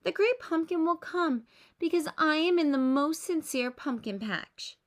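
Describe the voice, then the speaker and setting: An earnest little boy from a storybook, played by a woman reading aloud. He is eager and utterly sincere, full of hope. The voice is high and very lively. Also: unhurried. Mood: upset, insistent. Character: earnest, hopeful little boy, a true believer.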